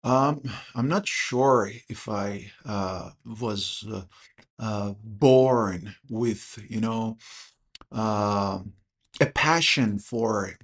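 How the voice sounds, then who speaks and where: A man's voice: fairly low pitch, drawn-out words, a bit bright. A man in his thirties, an English teacher hosting a casual podcast chat, who talks hesitantly with many fillers and restarts. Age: 30s. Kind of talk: casual conversation.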